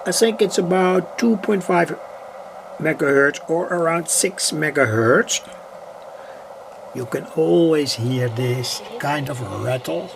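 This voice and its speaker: A man with a Dutch accent and a creaky, gravelly voice, giving a casual, halting, unscripted demonstration as an electronics hobbyist. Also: on the high side, noisy background. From Netherlands. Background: shortwave radio playing stations.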